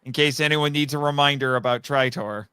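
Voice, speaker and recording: A man's voice: fairly high pitch, a little fast, sharp tone, clear, resonant tone, dry recording, studio quality. A man talking casually and eagerly as a guest on a fan podcast, explaining things at rambling length. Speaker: podcast guest, audio drama writer. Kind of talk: animated casual fan discussion.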